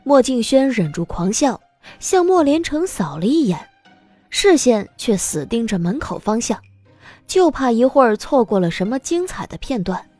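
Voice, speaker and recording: Woman reading a romance audiobook, expressive, high voice, bit of music behind. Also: normal speed, dry recording. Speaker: audiobook narrator. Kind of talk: expressive scripted narration of a romance novel.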